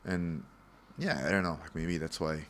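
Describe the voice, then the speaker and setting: A man from Singapore with a slightly low voice hosts a relaxed podcast conversation. His delivery is loose and playful, and he swears casually. His speech is full of fillers and quick restarts. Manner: loose, joking, profane, lots of fillers. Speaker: podcast host.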